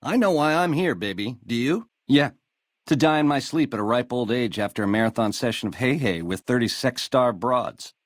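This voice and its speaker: An actor playing a slick, cocky American casino gangster boss in a video game, swaggering through retro lounge slang. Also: male voice, medium pitch, animated, normal speed, dry recording, clean studio sound, close to an everyday voice. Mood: wry, scheming. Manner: swaggering retro lounge-lizard slang, calls people baby. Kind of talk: video game character dialogue.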